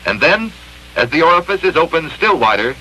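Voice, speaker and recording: A man narrating a 1960s industrial training film in an emphatic, clearly enunciated instructional manner, from an old film soundtrack. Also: on the high side, very animated, fairly quick, sharp tone, a little hiss or hum, low-quality recording.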